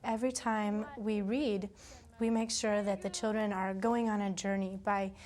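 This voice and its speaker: A woman's voice: medium pitch, flat delivery, moderate pace, darkish tone. A woman in her twenties, a teacher who speaks expressively and encouragingly about and during reading with children. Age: 20s. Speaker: afterschool teacher. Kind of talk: explaining teaching methods and leading a children's read-aloud.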